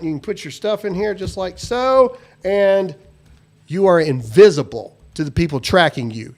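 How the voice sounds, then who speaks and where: A man's voice: a little high, darkish tone. A man hosting a live talk show, joking loosely and reading sponsor spots with lots of "uh" fillers. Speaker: host. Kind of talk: casual live show chat with sponsor reads.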